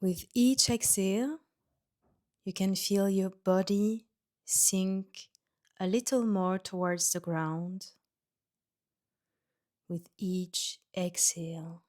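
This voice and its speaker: A woman leads a guided relaxation as a yoga nidra teacher. Her delivery is very slow and gentle, with short, soothing phrases set apart by pauses. Her voice sits at a medium pitch for a woman. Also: fairly even intonation. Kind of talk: guided meditation.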